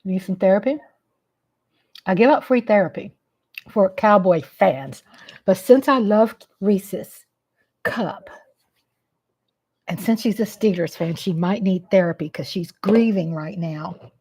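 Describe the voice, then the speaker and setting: A woman's voice: medium pitch, measured pace, pauses often, a little mellow, slightly rough. A woman from the American South with a Texas accent, hosting a casual live chat stream from home in a playful, teasing way.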